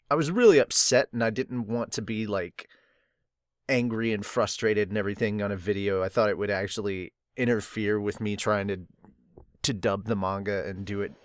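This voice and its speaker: A man hosting a podcast, casually reading and reacting to a manga, expressive with laughs and swearing. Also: on the low side, no room sound, studio quality. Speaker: podcast host.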